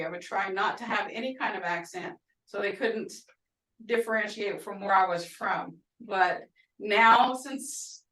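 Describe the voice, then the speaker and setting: A woman's voice: medium pitch, bright, forceful tone. Chatty woman with an Oklahoma twang. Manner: chatty, laughing often, storytelling asides. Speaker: language instructor. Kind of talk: casual online class teaching. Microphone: video call. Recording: roomy, distant video-call audio.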